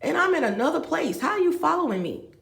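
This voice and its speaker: Woman in her 50s, vlogger with a gravelly voice, echoey room, rambling and chatty. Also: sits high, big pitch swings, fast, a bit bright. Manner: rambling, talks straight to viewers with asides. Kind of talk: rambling confessional vlog. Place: room with a bed, indoors.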